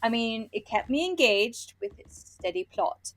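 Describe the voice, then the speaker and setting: A woman's voice: on the high side, lively intonation, unhurried, a bit bright. A woman co-hosting a casual book review podcast, talking candidly and animatedly as she retells her own reactions. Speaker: podcast co-host.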